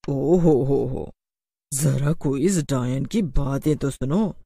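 A man's voice: mid-range voice, slow, vocal fry, warm tone, husky, dry recording, exaggerated voice. A man voicing a vengeful female witch ghost in a cartoon horror story, with a menacing, accusing, dramatic delivery. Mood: vengeful, mocking. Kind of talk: animated horror story dialogue.

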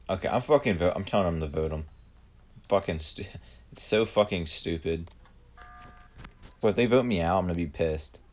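A man's voice: deep voice, darkish tone. A man giving live gameplay commentary, blunt and profane, ranting at the other players. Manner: profane, blunt, quick to rant. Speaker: gamer streamer.

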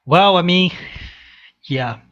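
A young adult man giving casual read-along commentary, halting and restarting his phrases as he goes. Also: sits high, animated, a bit bright, highs cut off. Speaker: reaction video host. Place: small room indoors. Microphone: headset boom mic.

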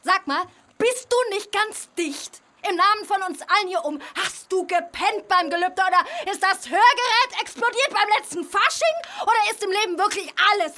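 An actress playing a bossy, sarcastic official of heaven in a radio play, badgering and scolding. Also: female voice, very high voice, bright, forceful tone, a little hiss or hum.

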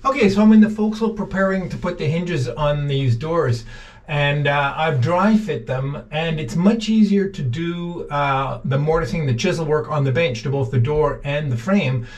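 A middle-aged man casually talking through his hands-on work to the camera, with halting pauses as he goes. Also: on the high side. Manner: talks haltingly while working, with pauses. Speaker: vlog host, boat restorer. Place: inside a wooden boat in a shed and at its workbench. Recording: noisy workshop recording with clicks.

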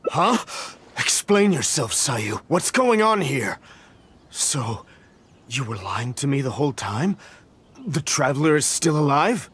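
A man's voice: medium pitch, big pitch swings, slow pace, a bit bright, husky, exaggerated voice. An actor playing an anxious middle-aged official and fretful father in a video game, flustered, earnest and explanatory. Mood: startled.

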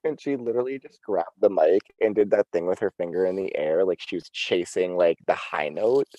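A young man as a podcast guest, teasing the host with playful deadpan asides in casual chat. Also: deep voice, fairly quick, dry recording, poor audio.

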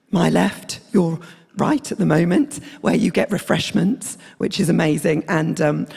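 A woman in her thirties with a hoarse, croaky voice, giving friendly, rambling church notices with many fillers into a handheld mic on a hall stage. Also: medium pitch, a little mellow. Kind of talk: casual announcements. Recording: long hall reverb.

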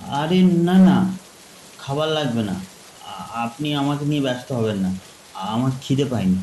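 A man's voice: mid-range voice, expressive, unhurried, mellow, natural voice. A man voicing a polite, reluctant younger traveller in a ghost story, deferential and protesting. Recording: echoey, faint rain noise.

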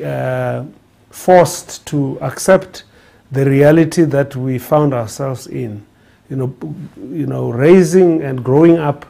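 South African man, earnest, mellow voice. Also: mid-range voice. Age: 40s. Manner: earnest, many fillers and restarts. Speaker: guest speaker. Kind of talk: personal testimony talk. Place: studio with printed backdrop.

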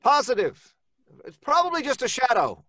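An actor playing a hesitant, protective husband in an old-time radio drama. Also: male voice, very high voice, very animated, moderate pace, sharp tone, no room sound, studio quality, heavily acted. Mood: reassuring. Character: hesitant, protective husband soothing his wife.

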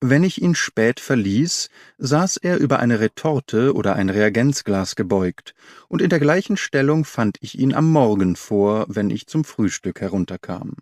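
Measured male audiobook narrator, dry sound. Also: mid-range voice, animated, darkish tone, no room sound. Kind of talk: scripted literary narration.

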